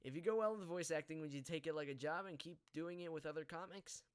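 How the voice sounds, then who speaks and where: A teenage male high school student hosting a casual podcast, talking in an earnest, halting, rambling way. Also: clear, resonant tone, no echo, studio quality. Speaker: podcast host. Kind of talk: casual live podcast chat.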